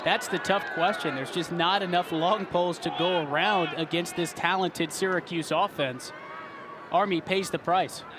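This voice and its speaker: A young man with a high-pitched voice delivers sports commentary and reports. His delivery is polished and broadcast-style. There is clear noise behind him. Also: fairly even intonation, normal speed, crowd noise. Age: early 20s. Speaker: sports play-by-play announcer and reporter.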